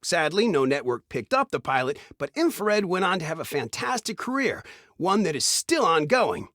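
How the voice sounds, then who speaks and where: An actor playing an upbeat retro radio DJ in a video game, with a smooth announcer's delivery of scripted patter. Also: male voice, fairly high pitch, big pitch swings, moderate pace, a bit bright, no echo, clean studio sound. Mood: enthusiastic.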